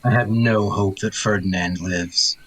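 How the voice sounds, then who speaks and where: A man as a slow-spoken, covetous Shakespearean lord. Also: fairly low pitch, steady tone, a bit bright, slightly rough, low-quality recording. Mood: doubtful, questioning. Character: slow-witted, easily tempted royal brother, covetous lord. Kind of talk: Shakespearean audio drama acting. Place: recorded remotely online.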